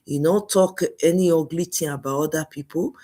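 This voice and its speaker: A woman's voice: low voice, fairly quick, warm tone. A woman with a Nigerian accent hosting a casual commentary channel, chatty and mixing in pidgin.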